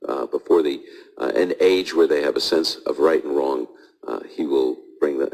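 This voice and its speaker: Male host, very low voice, thoughtful and hedging, live audience Q&A. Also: vocal fry, darkish tone, poor audio. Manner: thoughtful, hedging, frequent restarts. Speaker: radio host answering audience questions. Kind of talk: live call-in Bible Q&A.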